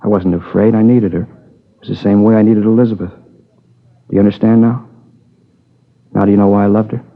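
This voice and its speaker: A man's voice: lowish voice, level tone, normal speed, natural voice. An American actor playing a troubled, needy young man confessing to a killing in a 1950s radio drama, brooding and pleading in short repeated phrases. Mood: bitter, yearning. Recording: tinny old radio recording with long reverb.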